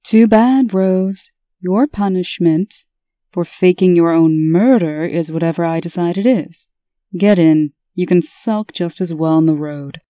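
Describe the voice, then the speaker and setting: A woman's voice: on the low side, unhurried, dark tone, no room sound, lightly acted. A woman playing a strict, commanding, no-nonsense official and elder sister in a comic dub. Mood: stern.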